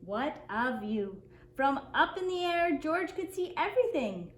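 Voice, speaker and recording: A woman reading a children's picture book aloud as a story-time host, in an expressive, deliberate storytelling manner for young children. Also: high voice. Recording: roomy echo, faint background noise.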